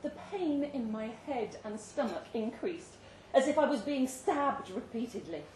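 A woman giving an expressive, theatrical reading of a suspenseful short story at a live indoor event. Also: a little high, measured pace. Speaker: story reader. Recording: roomy live venue, distant mic, faint noise.

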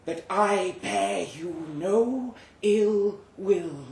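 A man voicing a formal, apologetic monstrous creature in a slow, deliberate way, in a live story reading. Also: high voice, expressive, darkish tone, distant mic, pitched above the performer's own voice, exaggerated voice. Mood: eerily polite. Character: courteous, apologetic monstrous creature, captor of humans. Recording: roomy live venue recording, faint noise.

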